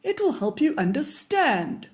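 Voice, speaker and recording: A woman voicing a patient, kindly grandfather in a children's storybook, gently explaining things. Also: high-pitched, sing-song, measured pace. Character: patient, kindly grandfather who loves garden bugs.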